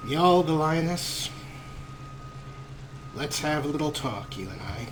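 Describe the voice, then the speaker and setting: Wry, animated man doing let's-play commentary. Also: a little high, takes it slowly, background noise. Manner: wry, rambling, giggly. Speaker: gaming show host.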